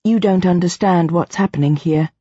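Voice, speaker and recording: A woman voicing a cold, controlled artificial intelligence in a human body, deliberate and expressionless, for an audiobook. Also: on the low side, dark tone, breathy edge, dry recording, dull audio. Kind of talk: first-person audiobook narration.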